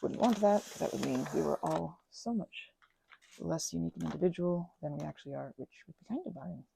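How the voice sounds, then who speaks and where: A woman making an ASMR craft video, rambling softly with whispered asides, little exclamations and meandering self-interrupting tangents. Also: sits low, animated, fairly quick, vocal fry, a little hiss or hum, rough recording. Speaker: ASMR creator.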